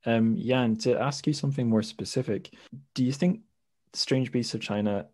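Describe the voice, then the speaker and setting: A man's voice: mid-range voice, normal speed, darkish tone, no room sound, clean studio sound. A man hosting a podcast interview, reading long, thoughtful questions with many restarts and self-corrections. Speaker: podcast host.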